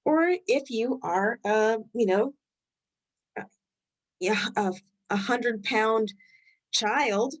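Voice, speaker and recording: A woman's voice: on the high side, drawn-out words, pauses often. Chatty woman with a Rhode Island accent. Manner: loose, conversational, thinks aloud while reading the chat. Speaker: webinar host. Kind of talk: live health webinar talk.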